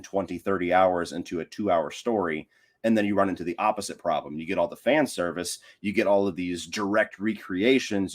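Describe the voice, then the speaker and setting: Low-pitched man chatting opinions on a podcast. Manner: explains in repetitive, structured lists. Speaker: podcast guest. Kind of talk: casual opinionated film discussion.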